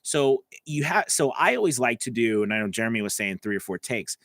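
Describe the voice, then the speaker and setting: A man's voice: mid-range voice, lively intonation, brisk, a bit bright, no room sound. A man coaching voice actors on a live stream, answering viewer comments in a casual, chatty way from his home studio. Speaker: voice-over coach.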